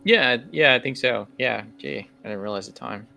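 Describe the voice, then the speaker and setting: A man game-mastering a tabletop role-playing game, narrating off the cuff in a halting, conversational way. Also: medium pitch, vocal fry, pushed and bright, soft background music.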